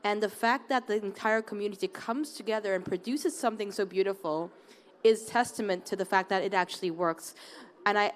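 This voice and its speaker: Earnest woman panelist from Hong Kong. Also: medium pitch. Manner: earnest, with frequent restarts and repeated words. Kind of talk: conference panel remarks. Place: conference open area.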